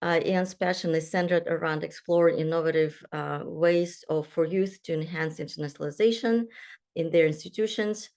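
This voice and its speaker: Kazakh-born woman moderating a zoom panel, lowish voice, lots of uhs and restarts. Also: gravelly, a little mellow. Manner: halting, many fillers and restarts. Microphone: video call.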